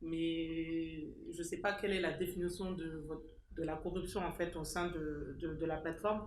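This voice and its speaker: A woman's voice: low voice, fairly even intonation, normal speed, roomy sound, a little hiss or hum. A woman speaking up at an association meeting, emphatic and repeating her key points. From France. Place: meeting hall.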